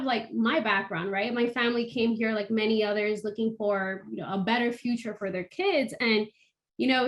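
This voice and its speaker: A young woman from the Dominican Republic, a panelist on a video call, telling her own story in a candid, conversational way. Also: on the high side.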